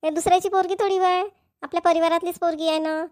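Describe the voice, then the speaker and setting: Woman playing a high-pitched coaxing village mother. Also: level tone, fast talker, a little mellow. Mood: persuasive. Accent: Varhadi Marathi. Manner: coaxing, repetitive. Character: persuasive, chatty middle-aged mother. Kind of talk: amateur cartoon family comedy dialogue.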